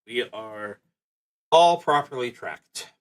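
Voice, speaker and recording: Male solo RPG streamer, unhurried and halting, mid-range voice, casual think-aloud narration with muttered asides. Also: medium pitch, animated, smooth. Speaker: solo roleplaying game streamer. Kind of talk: casual game play narration, thinking aloud and reading prompts.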